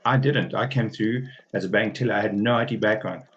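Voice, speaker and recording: Low-voiced man giving earnest advice. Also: brisk, a bit bright, highs cut off. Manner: earnest, advising, some restarts. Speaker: guest speaker, business analyst. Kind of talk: casual panel talk.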